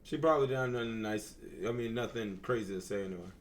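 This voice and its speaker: A man's voice: medium pitch, fast talker, a little hiss or hum. A man giving casual live gaming commentary as a streamer, slangy and exclamatory. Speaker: streamer reacting to a game.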